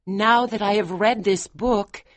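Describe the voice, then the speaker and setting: A man voicing a devoted young wife who pleads with her husband in a high, emotional voice. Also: unhurried, fairly bright tone. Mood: pleading.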